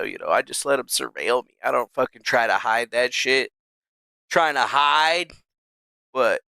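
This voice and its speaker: Brief: cocky male streamer, bright forceful voice, dry close sound, sarcastic rambling rants. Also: medium pitch, dry recording. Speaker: streamer host. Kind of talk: casual profane rant.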